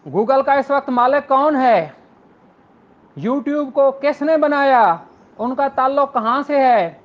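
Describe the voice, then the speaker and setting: A man's voice: very high-pitched, slow pace, muffled recording. A man with a Pakistani accent lecturing law students in an earnest, rhetorical way, repeating phrases for emphasis.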